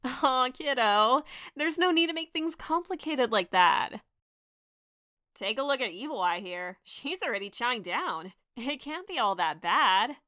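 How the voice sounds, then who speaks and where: Woman as a bright, breezy, sly cartoon contestant. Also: on the high side, expressive, a little slow, no room sound, studio quality. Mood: breezy, persuasive. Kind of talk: cartoon character dialogue.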